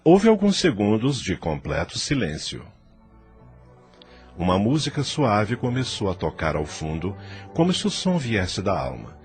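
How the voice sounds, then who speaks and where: Guy narrating an audio drama, lowish voice, really varied intonation, faint music behind. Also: wildly swooping pitch, a little slow, darkish tone, husky.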